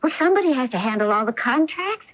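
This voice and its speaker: A highish, very animated driven sports-star wife, earnest and loving. She pleads and persuades with open emotion in radio play style, close and dry on a muffled old broadcast. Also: quick pace, dry recording. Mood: urgent, persuasive. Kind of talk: radio drama acting.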